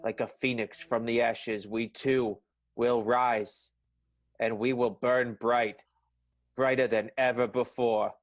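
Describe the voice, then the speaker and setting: Guy playing earnest vaudeville boss, mid pitched, trips over his words, very hesitant, radio play. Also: level tone, takes it slowly, soft background music, close to an everyday voice. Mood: solemn. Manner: stammering, hesitant. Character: flustered, earnest male vaudeville theater boss. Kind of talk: improvised radio play acting.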